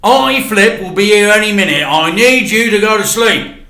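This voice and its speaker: Storybook father, acted by a man: harried, evasive, matey yet insistent, raised forceful voice with lively pitch. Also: normal speed, echoey room, heavily acted. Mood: bossy. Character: harried, evasive working-class father putting son to bed. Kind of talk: children's storybook read aloud.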